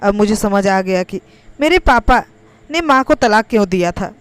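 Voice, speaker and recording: A woman's voice: medium pitch, animated, normal speed, clean tone, dry recording. A woman reading a scripted, first-person emotional story as its narrator.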